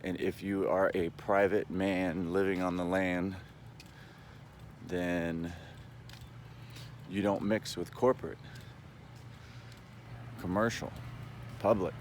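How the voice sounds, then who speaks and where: A man giving a rambling, casual monologue as a vlogger, explaining in a halting way and checking in as he goes. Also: deep voice, fairly even intonation, slight background noise.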